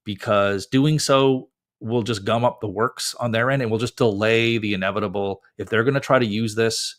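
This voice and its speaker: A man's voice: on the low side, steady tone, normal speed, fairly bright tone. A man hosting a live call-in show as a lawyer, giving advice in a casual, conversational way. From Canada. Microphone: close mic, wearing headphones.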